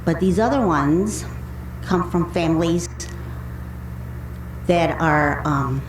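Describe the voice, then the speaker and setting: Slow-speaking woman in an echoey room. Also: deep voice. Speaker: public commenter at a county board meeting. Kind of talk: public speech. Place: indoor public meeting room. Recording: echoey meeting room with faint hum.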